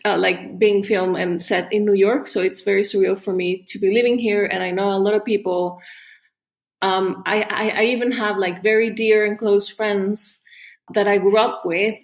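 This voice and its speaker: A woman from Chile, a guest on a podcast, talking in an animated, casual way with restarts and frequent laughs. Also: medium pitch, moderate pace. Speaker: guest artist. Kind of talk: casual interview chat.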